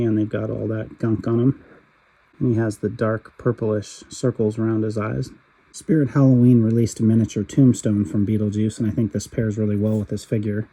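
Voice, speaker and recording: A man talking through a product review in a steady, matter-of-fact voice-over. His tone is very dark and mellow, and a cold leaves it husky and raspy. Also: on the low side, rough recording. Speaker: toy reviewer.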